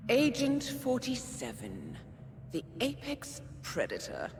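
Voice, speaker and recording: An actress playing a cruel, elegant tormentor in a video game, taunting in a theatrical, drawn-out, mocking way. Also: female voice, a little high, huge pitch swings, background noise, heavily acted. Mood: taunting, menacing.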